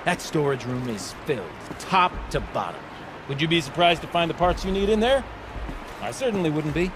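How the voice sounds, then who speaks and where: A high, wildly swooping voice for an eccentric older man, acted by a man in a video game. He rambles in grand, wordy phrases with theatrical excitability. Clear background noise sits behind him. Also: normal speed, natural voice. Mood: persuasive, excitable. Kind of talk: video game character acting.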